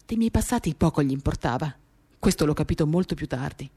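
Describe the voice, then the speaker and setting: A woman giving a literary dramatic reading of a first-person monologue, measured, with pauses between short phrases. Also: low-pitched, animated, mellow, husky. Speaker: reader of a novel, performing a radio reading. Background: acoustic guitar music.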